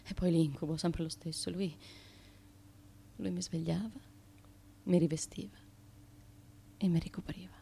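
An actress playing a fearful, haunted wife of an ancient Greek tyrant, intense and repetitive, in a theatrical radio drama. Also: female voice, lowish voice, steady tone, measured pace, vocal fry, pauses often, warm tone, slightly rough, exaggerated voice. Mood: dazed, fragile.

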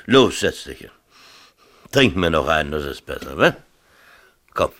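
An actor in a 1970s East German radio play, playing a harried but jovial middle-aged local official who talks colloquially and hesitantly, with many tag questions. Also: male voice, a bass voice, big pitch swings, a little slow, fairly bright tone, heavily acted. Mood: earnest, coaxing.

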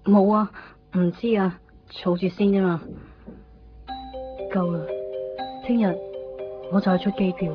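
A female actor plays a reserved but determined young woman in radio drama dialogue and monologue. Her pitch is medium and her manner guarded, in a dullish recording with music clearly behind. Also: steady tone, slow, pauses often, natural voice. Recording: muffled 1990s radio drama under music.

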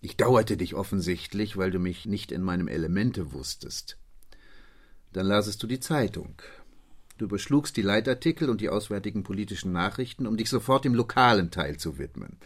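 An actor playing a bored, conceited detective in a German radio play, languid and lecturing in a condescending way. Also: male voice, fairly low pitch, animated, normal speed. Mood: smug, lecturing. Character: bored, conceited male detective, a Sherlock Holmes parody.

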